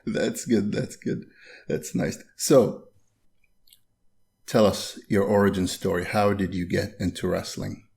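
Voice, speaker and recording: A man from Bahrain hosting a podcast, asking questions in a relaxed, friendly chat. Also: deep voice, takes it slowly, vocal fry. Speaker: podcast host. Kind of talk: casual interview chat.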